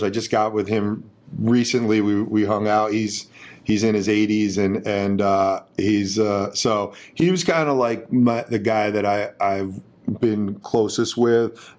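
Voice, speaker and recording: Middle-aged man with a lowish voice. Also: a little mellow. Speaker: guest, parent and businessman. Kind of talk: casual interview answers.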